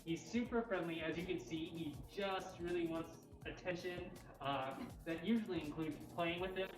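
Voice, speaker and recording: Lower-voiced woman in an echoey room. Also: normal speed. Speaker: cat cafe host. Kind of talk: casual livestream walkthrough. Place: indoor cat cafe room. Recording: roomy echo, faint music behind. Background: faint music.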